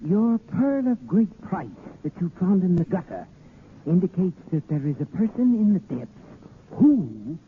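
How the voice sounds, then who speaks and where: An actor playing a cautious, deferential court astrologer in 1930s radio drama, hesitant, formal and portentous. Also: male voice, high-pitched, big pitch swings, measured pace. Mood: solemn. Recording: tinny, faintly noisy 1930s radio.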